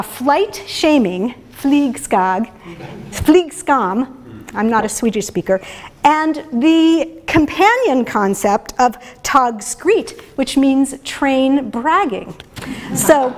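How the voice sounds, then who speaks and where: A woman artist giving an informal talk in a gallery, reading excerpts aloud with frequent fillers and restarts. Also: high-pitched. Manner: chatty, hesitant, many fillers and restarts. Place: art gallery before an audience.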